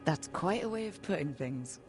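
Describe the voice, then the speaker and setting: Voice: mid-range voice, animated, normal speed, natural voice. An actor playing an easygoing, wry adventurer in a video game, speaking casually and plainly. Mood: wry, friendly. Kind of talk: video game dialogue acting. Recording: music behind the voice.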